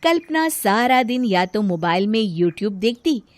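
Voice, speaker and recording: A woman's voice: medium pitch, lively intonation, measured pace, no room sound. A woman narrating a scripted story as a storyteller.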